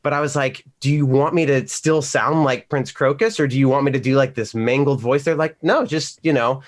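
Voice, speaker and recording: A man's voice: medium pitch, fairly even intonation, brisk. A man who works as a voice actor, talking casually on a remote panel and telling stories with acted-out asides. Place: remote video call.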